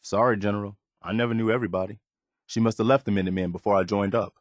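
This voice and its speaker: Game role: principled, weary militia officer, played by a male actor, sincere, plainspoken, lowish pitch, dry close recording. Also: fairly even intonation, rapid-fire, no room sound, natural voice. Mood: resigned, apologetic. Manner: sincere, plainspoken, morally upright. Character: earnest, steadfast militia officer, weary but principled man. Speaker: game companion character. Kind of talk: video game voice acting.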